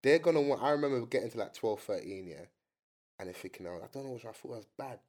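A young man talks quickly and with energy. He chats casually as host and tells animated stories full of slang. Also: mid-range voice. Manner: animated storytelling, British slang. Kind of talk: casual chat.

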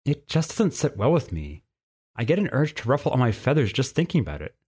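Man acting out a fretful, higher-pitched young bird. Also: big pitch swings, a little fast, a little mellow, husky. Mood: uneasy. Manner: indignant, fretful. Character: nervous, fussy teenage male bird, lanky and sarcastic.

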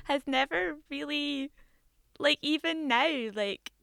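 A woman's voice: high voice, takes it slowly, creaky, a bit bright, no echo. Chatty young woman, very dry sound. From Scotland. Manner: chatty, with many fillers of "like". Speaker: interviewer, daughter. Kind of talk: casual conversational interview.

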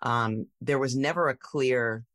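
A woman tech founder telling her story in a casual interview, animated, with many fillers and restarts. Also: rumbling low, a little slow, no room sound, clean studio sound. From United States.

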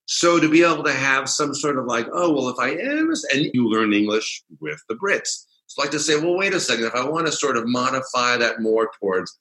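A man with an American accent, a voice coach talking with a former client in a relaxed interview. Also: medium pitch, brisk, few pauses, poor audio. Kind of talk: conversational interview.

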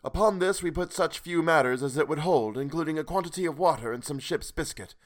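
A man voicing a weary older male castaway who speaks from a boat in the dark, with halting, broken pauses. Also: fairly high pitch, pitched above the performer's own voice, close to an everyday voice. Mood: solemn.

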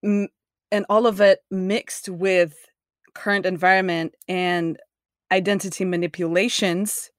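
A woman's voice: mid-range voice, level tone, unhurried, no echo, studio quality. A woman hosting an interview, speaking thoughtfully and hesitantly as she searches for words. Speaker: interviewer, host. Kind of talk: casual conversational interview.

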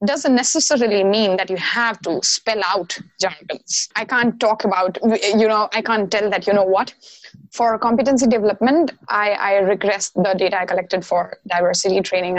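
A woman's voice: medium pitch, no echo, rough recording. A woman from Bihar with an Indian English accent and Maithili as her first language, speaking earnestly as a podcast guest with many "you know" fillers. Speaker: guest, diversity researcher. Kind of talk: casual podcast conversation.

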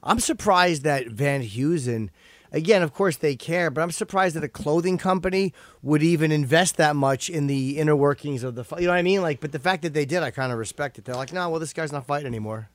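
A man's voice: fairly high pitch, no echo. A man in his fifties, a comedian hosting a casual podcast, cracking self-deprecating jokes. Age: 50s. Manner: quick self-deprecating wisecracks.

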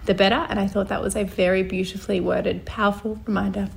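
A woman's voice: mid-range voice, little pitch movement, fast talker, creaky, low-quality recording. A young woman co-hosting a podcast in casual chat, talking chattily, laughing often and restarting her sentences. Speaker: podcast co-host.

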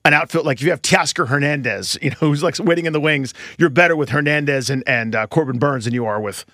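A man co-hosting a sports podcast, explaining and bantering about baseball in a casual, animated way. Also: on the high side, fast talker, dry recording, clean studio sound.

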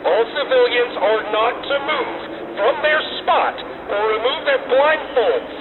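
A woman playing a curt military commander giving clipped, authoritative orders over a radio in an audio drama. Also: measured pace. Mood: stern, commanding. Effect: radio filter. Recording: tinny noisy radio transmission, engine rumble.

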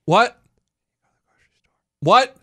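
A young man co-hosting a sports radio show in casual banter, emphatic and loud, acting out little quoted exchanges. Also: extremely slow, dry recording.

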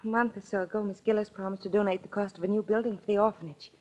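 An actress playing a strict, sour orphanage matron of about fifty in a 1940s radio drama, scolding and indignant. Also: female voice, mid-range voice, steady tone, racing, a little mellow, natural voice, rough recording. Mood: sour complaint. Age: middle-aged.

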